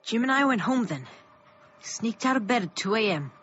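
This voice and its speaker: A woman's voice: fairly high pitch, lively intonation, normal speed, slightly rough. A woman playing an anxious, cautious thirteen-year-old small-town boy in a radio drama, earnest and hesitant. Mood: hushed awe. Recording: dull lo-fi audio under loud music.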